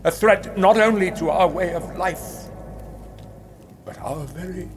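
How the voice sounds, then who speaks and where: An actor playing a grandiose, menacing rabbit ruler, orating theatrically with emphatic, dramatic pauses. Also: male voice, high voice, a crowd in the background. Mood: menacing, impassioned. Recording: long hall reverb, music underneath.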